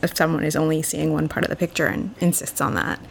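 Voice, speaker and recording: A young woman from Boston talks through her views as a guest on a podcast. Her voice sits a bit low and carries a gravelly fry. She speaks in a thoughtful, earnest way. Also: flat delivery, fast talker, slight background noise. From Boston, USA. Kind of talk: casual podcast conversation.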